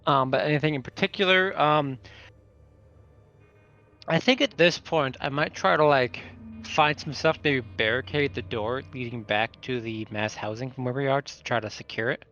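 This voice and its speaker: Man, tabletop player, dull lo-fi recording, bright voice, casual, tentative, thinking out loud. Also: mid-range voice, normal speed. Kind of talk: casual game-table talk. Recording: dull, lo-fi voice audio.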